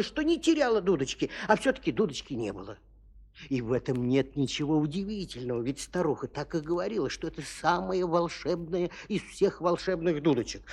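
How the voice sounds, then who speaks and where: A man narrating a scripted fairy tale for children as an expressive, emphatic storyteller. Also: high voice, husky.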